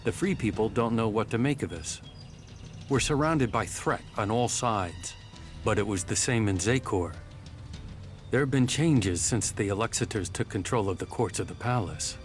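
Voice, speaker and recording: A proud, distrustful rebel soldier played by a man in a video game cutscene. The voice sits fairly low and moves in measured, formal phrases. Music plays clearly behind it. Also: natural voice. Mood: guarded, matter-of-fact. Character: wary rebel soldiers of a cold, proud army.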